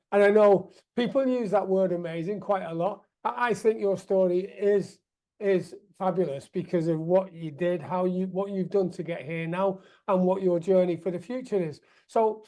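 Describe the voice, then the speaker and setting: A man's voice: high-pitched, mellow. A man hosting a veterans' podcast, enthusiastic and upbeat, with fillers and self-corrections as he talks. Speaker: podcast host.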